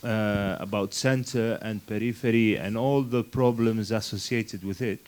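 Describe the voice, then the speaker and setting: A man speaking in a group discussion, halting and restarting as he builds his point. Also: fairly low pitch, steady tone, a little mellow. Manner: halting, many restarts and fillers. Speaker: festival workshop participant. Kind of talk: spontaneous discussion remarks.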